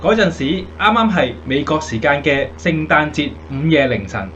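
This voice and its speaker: A man's voice: fairly high pitch, big pitch swings, normal speed, fairly bright tone, lo-fi sound, a little hiss or hum. A man acting a dutiful bomber navigator reporting in a radio drama. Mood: brisk, dutiful. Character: dutiful male navigator reporting to his captain.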